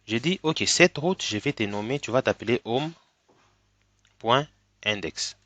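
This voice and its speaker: A man's voice: medium pitch, animated, a bit bright, no echo. A man with a cold teaching a coding tutorial step by step, often checking in with "ok?". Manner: slow, step-by-step, frequent "ok?" checks. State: has a cold. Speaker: programming tutor. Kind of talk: screen-recorded coding tutorial.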